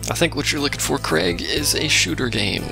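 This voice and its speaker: A man's voice: medium pitch, very animated, normal speed, vocal fry, music in the background. A man giving casual, dry and sarcastic commentary on a video game he is playing. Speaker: let's play commentator.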